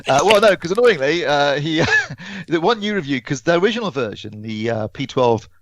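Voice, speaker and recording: A man's voice: a little high, very animated, moderate pace, fairly bright tone, no echo. A British man co-hosting a podcast, talking through a product review casually and correcting himself as he explains.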